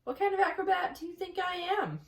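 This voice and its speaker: A woman voicing a brisk, clever female spider who takes charge in a storybook reading. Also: extremely high pitch, expressive, gravelly, pitched above the performer's own voice, full character voice. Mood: exasperated. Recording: echoey room, distant mic.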